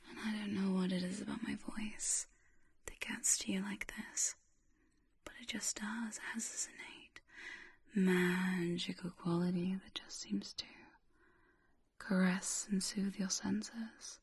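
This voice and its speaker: A woman whispers right up close to the microphone in a slow, airy hush. Her voice stays flat and even, soothing and coaxing, with phrases repeated softly in an ASMR hypnosis style. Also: mid-range voice, husky.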